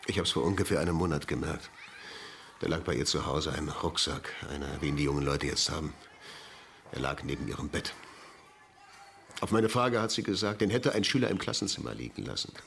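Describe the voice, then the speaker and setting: An actor playing a defensive, rueful middle-aged headmaster in a radio crime drama, speaking haltingly. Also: male voice, a bass voice, expressive, vocal fry, fairly bright tone, a little hiss or hum, full character voice. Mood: confessing. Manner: halting, agitated, confessional. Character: defensive, rueful ageing male school headmaster having confessed an affair.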